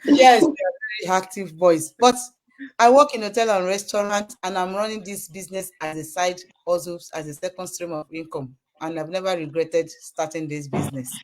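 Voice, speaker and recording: A woman's voice: fairly low pitch, normal speed, darkish tone, low-quality recording. A woman with a Nigerian accent casually introducing herself as a podcast co-host.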